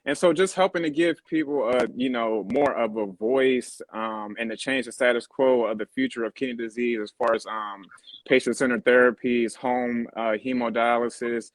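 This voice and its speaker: Guest, man, 30s, halting and filler-heavy, mid pitch, dry close sound. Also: no room sound, poor audio. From born in Germany on a US military base. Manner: halting, many fillers and restarts. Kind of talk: casual interview talk.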